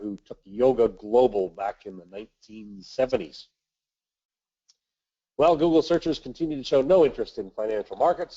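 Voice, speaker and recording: A man with a nasal voice from a cold, hosting a weekly investment webinar and reading market commentary in a measured way with the odd filler. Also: medium pitch, dark tone, highs cut off. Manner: measured, reads from notes with filler uhs. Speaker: webinar host, investment manager.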